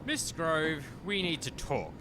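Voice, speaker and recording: A man's voice: huge pitch swings, slow, heavily acted. A man playing an officious, fussy lab manager who lectures. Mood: stern, pedantic. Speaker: actor in a radio play. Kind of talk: radio play farce acting. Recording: noisy home recording with low rumble.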